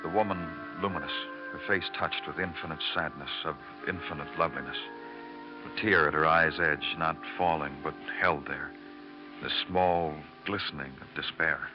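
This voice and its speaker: An actor playing a hardened, melancholy New York homicide detective in a mid-century radio crime drama, speaking in a measured, poetic, brooding way. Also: male voice, a bass voice. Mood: somber, poetic. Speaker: narrator and lead actor. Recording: muffled old radio recording, music behind.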